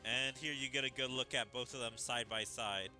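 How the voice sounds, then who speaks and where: A man's voice: medium pitch, fairly even intonation, moderate pace, pushed and bright, soft background music. A man giving casual gaming commentary, thinking aloud in a musing way as he follows a story. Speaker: gaming video creator.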